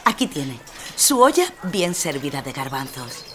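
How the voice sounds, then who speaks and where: Una mujer con acento andaluz interpretando a una vecina bondadosa y discreta en una ficción sonora. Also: female voice, rumbling low, very animated, a little slow, noisy background, exaggerated voice. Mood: kind, confiding. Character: kind, discreet woman serving food to neighbours. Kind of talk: audio drama acting.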